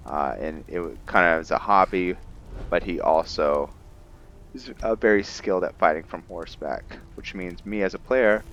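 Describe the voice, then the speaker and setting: A man giving casual commentary on his roleplay game, thinking aloud in a halting way. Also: low voice, a bit bright, lo-fi sound, slight background noise. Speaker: gamer narrating a roleplay playthrough.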